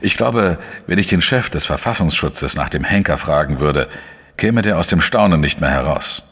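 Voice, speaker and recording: Male for crime radio play: shrewd, matter-of-fact reporter-sleuth, very low husky voice, reverberant sound. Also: animated, a bit bright, exaggerated voice. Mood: measured reasoning. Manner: measured, explanatory, matter-of-fact. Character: shrewd, dry male investigative journalist, narrating his case. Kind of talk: German crime radio play, narration and dialogue.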